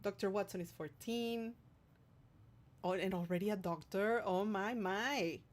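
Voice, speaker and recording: A woman's voice: mid-range voice, measured pace. Playful woman chatting on a livestream. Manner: affectionate, playful, giggly asides to viewers. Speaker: streamer hosting a livestream, singer-songwriter. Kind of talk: casual livestream chat, reading out viewer comments.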